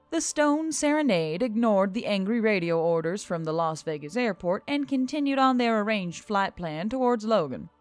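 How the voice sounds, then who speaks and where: A woman's voice: mid-range voice, no echo. Woman narrating a story, very dry sound. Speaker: audiobook narrator.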